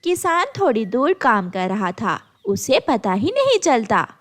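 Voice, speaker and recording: Woman storyteller, scripted children's narration, high pitch, very animated, unhurried.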